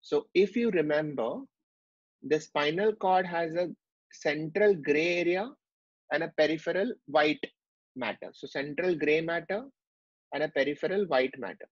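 Man, medical lecture, slightly high voice, husky, dull lo-fi dry audio. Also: normal speed, no echo. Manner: explanatory, with frequent fillers and restarts. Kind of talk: whiteboard teaching lecture. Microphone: online class recording.

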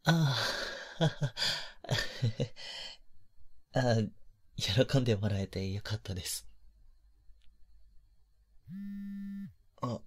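A male voice actor playing a polite, flustered young man in a romantic voice drama, gentle and hesitant, with a soft breathy delivery. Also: lowish voice, animated, measured pace. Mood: concerned, gentle. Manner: hesitant, bashful, apologetic, gentle.

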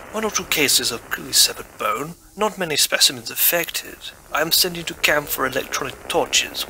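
A man playing an expedition scientist in an audio drama, dictating halting, clipped field reports. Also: mid-range voice, natural voice. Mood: intent. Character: male expedition scientist relaying field discoveries by radio. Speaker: voice actor in an audio play. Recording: clearly noisy background.

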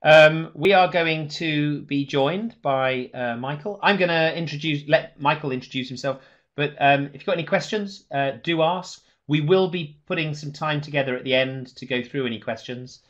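A man's voice: on the high side, normal speed, fairly bright tone, lo-fi sound. A man hosting a webinar, briefly introducing the speaker and inviting questions. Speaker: webinar host.

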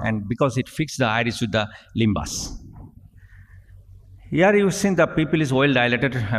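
Halting man lecturing in a reverberant hall. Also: medium pitch, fairly bright tone. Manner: halting, frequent fillers and restarts, reading at a lectern. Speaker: eye surgeon giving a conference talk. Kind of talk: technical lecture narrating surgical video. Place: large conference hall, at a podium. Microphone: podium gooseneck microphone.

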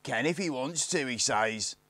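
A man voicing the bossy, scornful eldest boy of a gang in a storybook, with rough colloquial speech and a sneering, snapping manner. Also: medium pitch, lively intonation, normal speed, no echo, pitched above the performer's own voice, lightly acted.

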